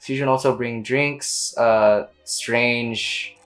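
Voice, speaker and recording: A woman's voice: a bass voice, steady tone, extremely slow. A woman streaming a game with casual, slangy, teasing commentary, reading dialogue aloud. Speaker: gameplay streamer. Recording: game music behind the voice.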